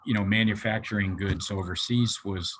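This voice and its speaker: A man's voice: on the low side, steady tone, moderate pace, fairly bright tone, slight background noise. A male host interviewing a guest in a conversational way, hesitant and often checking with "right?". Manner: hesitant, repeats words, frequent "right?".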